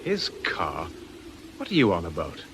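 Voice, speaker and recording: Glib, swooping low-voiced crook, noisy recording. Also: male voice, huge pitch swings, a little slow, fairly bright tone, full character voice. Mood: indignant. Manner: mock-pious, glib, affectedly indignant. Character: slippery, mock-genteel middle-aged crook, insolently sentimental. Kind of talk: radio drama acting. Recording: noisy old radio drama recording.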